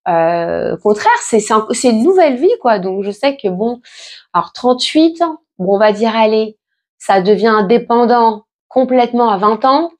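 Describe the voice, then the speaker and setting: A woman's voice: on the high side, expressive, moderate pace. A pregnant woman in her late thirties, chatting casually and reassuringly to her viewers with lots of fillers and restarts. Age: 30s. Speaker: vlogger answering viewer questions. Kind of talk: casual chat.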